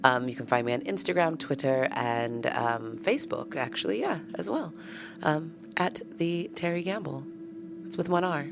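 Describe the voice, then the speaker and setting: A woman's voice: low-pitched, expressive, normal speed, creaky, background noise. A woman, a role-play show player, chatting casually to listeners. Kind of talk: casual chat.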